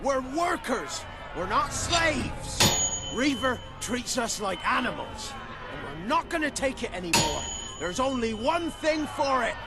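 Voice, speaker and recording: A man playing a defiant, indignant worker in a video game, shouting rallying cries. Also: extremely high pitch, big pitch swings, a little slow, over loud music, full character voice. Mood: angry defiance. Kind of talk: video game cutscene acting.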